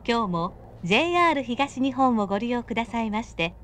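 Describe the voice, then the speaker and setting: Higher-voiced woman, automated train announcer. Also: lively intonation, a little fast, a little hiss or hum. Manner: smooth, evenly paced, clipped phrases. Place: inside a moving commuter train.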